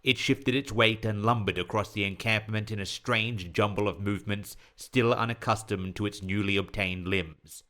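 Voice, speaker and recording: Man with a lowish voice reading out horror stories, measured literary delivery, podcast narrator type. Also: fairly even intonation, sharp tone. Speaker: author and narrator of an audio horror story podcast. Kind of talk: scripted horror story narration.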